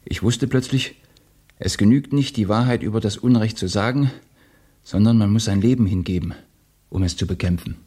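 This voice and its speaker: Husky, earnest young male revolutionary. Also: lowish voice, normal speed, warm tone. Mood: fervently earnest. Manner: candid, earnest. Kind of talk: German radio drama acting.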